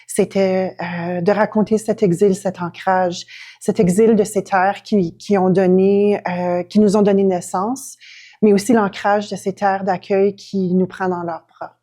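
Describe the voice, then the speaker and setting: A woman's voice: mid-range voice, level tone, measured pace, dark tone. A woman from a writing collective explaining where its name came from, hesitant and full of fillers. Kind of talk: explanatory storytelling.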